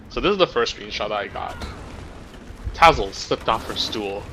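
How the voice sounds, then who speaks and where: Bright, forceful man with a lowish voice. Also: animated, a little slow, gravelly. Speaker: story reader. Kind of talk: reading chat roleplay aloud to friends. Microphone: online voice chat microphone. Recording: faint background noise on voice chat.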